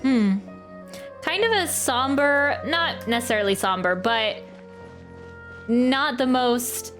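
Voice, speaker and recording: A woman's voice: fairly high pitch, a bit bright. A woman streamer giving casual, thoughtful live commentary on a game, often searching for her words. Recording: game music behind the voice.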